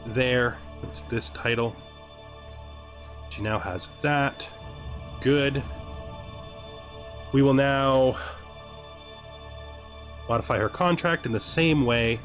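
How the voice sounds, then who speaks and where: A man hosts a let's play in a casual commentary style. His voice sits at a middling pitch, and he talks as if thinking aloud to himself. Game music plays behind his voice. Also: takes it slowly, long pauses, fairly bright tone.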